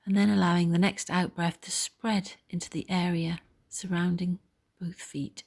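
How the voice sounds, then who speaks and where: A woman leading a guided body scan meditation in a soft, gentle, soothing way, pausing between phrases. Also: fairly low pitch, flat delivery, vocal fry.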